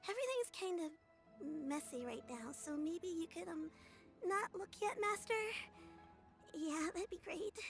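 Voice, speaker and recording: A woman's voice: very high-pitched, expressive, a little slow, creaky, soft background music, exaggerated voice. An actress playing a shy, flustered young female apprentice in a video game, stumbling over her words with fillers. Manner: hesitant, fumbling speech with fillers.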